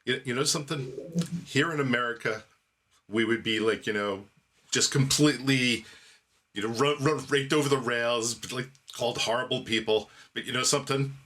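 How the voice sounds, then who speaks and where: A man co-hosting a podcast in casual comedic chat. His voice has a bright, forward tone at a middle pitch. He jokes easily and sometimes drops into a mock announcer voice. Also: moderate pace. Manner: playful, announcer-like bits. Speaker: podcast co-host.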